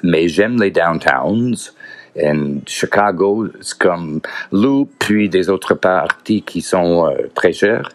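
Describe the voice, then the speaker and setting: Deep-voiced, halting man. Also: lively intonation. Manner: halting, searching for words in a second language. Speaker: podcaster. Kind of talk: casual solo podcast monologue.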